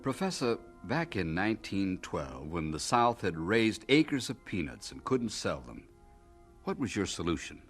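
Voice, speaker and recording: Radio drama announcer, male, American, deeper register, animated delivery, asks questions as narrator. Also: unhurried, faint music behind.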